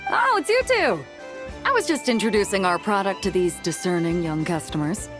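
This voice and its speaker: Actress as confident seafaring woman, easygoing, frank, animated intonation, video game cutscene, light music behind. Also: mid-range voice, normal speed, close to an everyday voice. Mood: friendly, breezy. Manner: easygoing, frank, self-assured. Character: breezy, confident seafaring woman selling weapons.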